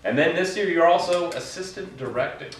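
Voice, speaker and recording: A man's voice: on the high side, brisk. An American man in his thirties conducts a casual, teasing interview. He sounds set back from the mic in a very reverberant room, with a little background noise. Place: indoor theatre set. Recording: echoey room, distant mic, faint noise.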